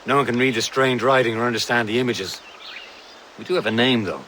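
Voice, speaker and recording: A man plays a loyal, level-headed ship's first mate in a game drama. His voice is mid-pitched, earnest and reasoning. There is clear wind and water noise behind him. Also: brisk, pushed and bright, lightly acted. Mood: matter-of-fact.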